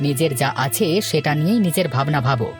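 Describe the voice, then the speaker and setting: A man voicing a sensible, plain-spoken village husband who gently admonishes, in a cartoon folk tale. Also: on the high side, fast, close to an everyday voice. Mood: disapproving. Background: music.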